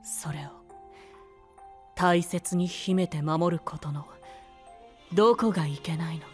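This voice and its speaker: A man voicing a frail, gentle young male knight who speaks softly and half in whispers, over background music. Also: fairly high pitch, slow pace. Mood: wistful. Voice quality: soft, breathy, often whispered. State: weak and tired. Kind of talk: anime voice drama acting.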